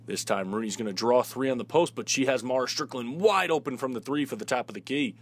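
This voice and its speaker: A man announcing sports highlights in a play-by-play commentary style. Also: fast.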